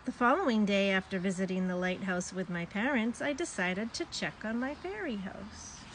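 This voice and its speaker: A woman's voice: mid-range voice, lively intonation, moderate pace. Woman reading a children's story, background noise. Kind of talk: expressive read-aloud of a children's story.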